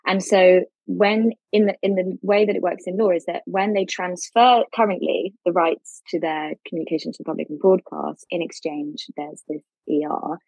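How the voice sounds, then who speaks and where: A woman with a fairly low, mellow voice explains legal points as a guest expert in plain, informal words. Her tone is slightly husky, and the dry recording sounds a little dull. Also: fairly even intonation, normal speed, hardly any pauses, no echo. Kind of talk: explaining law in a casual discussion.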